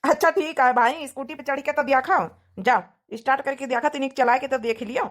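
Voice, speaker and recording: A woman's voice: sits high, fairly even intonation, a little fast, natural voice. A woman voicing a devoted, protective young village man in a cartoon comedy, with an Awadhi accent and teasing, boastful manner. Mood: teasing, proud.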